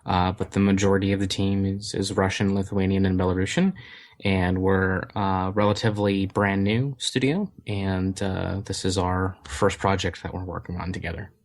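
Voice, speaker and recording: A man with a lowish voice talks through his answers in a casual interview. He pauses often and stacks up fillers and restarts as he explains. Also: flat delivery. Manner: hesitant, many fillers and restarts. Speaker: game studio community manager.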